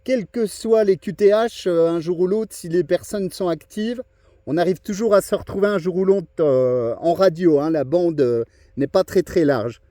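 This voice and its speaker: Male radio hobbyist, fairly high mellow voice, casual conversational contact chat, keeps checking the listener follows. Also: normal speed, no room sound. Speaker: amateur radio operator.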